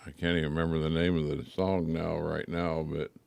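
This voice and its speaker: Older man, low-pitched voice, folksy, plainspoken, casual radio chat, brief replies. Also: level tone, fairly quick, gravelly, a little mellow, no room sound. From rural Washington state, United States. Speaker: radio show participant. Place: radio studio.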